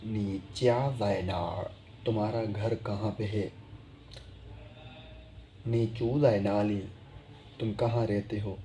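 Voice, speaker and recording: A man teaching a casual online language class in an unhurried, deliberate way. He says each phrase several times over for his viewers to copy. His voice sits fairly low and has a warm, mellow tone, with a faint hum of room noise behind it. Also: fairly even intonation. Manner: slow, repeats each phrase for drilling.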